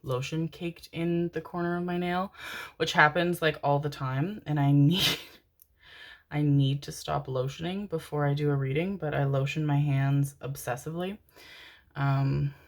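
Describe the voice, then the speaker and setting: Woman, tarot reader, low voice, rambling, affectionate pet names, young adult. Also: steady tone, unhurried. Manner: affectionate, chatty, rambling with many fillers and endearments. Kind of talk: casual channeled tarot reading to camera.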